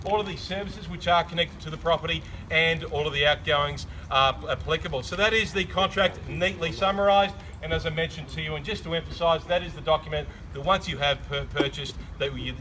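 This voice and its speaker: A man's voice: a little high, bright, forceful tone. Aussie auctioneer bloke, projecting his voice outside, formal salesy patter, faint traffic behind. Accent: Australian. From Australia. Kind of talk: outdoor property auction spiel. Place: outdoors on a suburban street.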